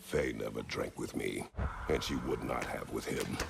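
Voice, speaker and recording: An actor playing a stern, stoic middle-aged warrior god in a video game, gravelly, terse and commanding in formal words. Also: male voice, very deep voice, normal speed, very noisy, full character voice. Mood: curt, stern.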